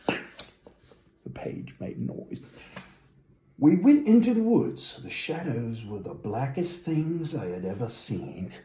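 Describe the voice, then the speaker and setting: Male storybook reader, very mellow and animated, whimsical musing asides, roomy sound. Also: mid-range voice, slow pace, long pauses. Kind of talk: children's story reading with chatty asides.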